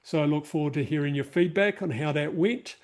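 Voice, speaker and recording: A man's voice: fairly high pitch, brisk. A man with a New Zealand accent hosting an online workshop, chatting casually with many fillers and restarts over a video call mic. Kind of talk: casual closing remarks to a live online group.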